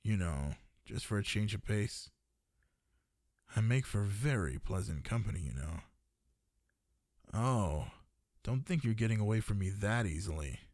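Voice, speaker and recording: Low-voiced flirty dragon librarian, acted by a man. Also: animated, measured pace, long pauses, darkish tone, no echo, studio quality. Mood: flirty, persistent.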